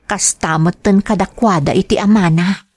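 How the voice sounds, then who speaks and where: An actress with an Ilocano accent playing a troubled, submissive young wife in a radio drama. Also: female voice, medium pitch, normal speed, a little mellow, slight background noise, natural voice. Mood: sorrowful, resigned. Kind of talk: Ilocano radio drama acting and first-person narration.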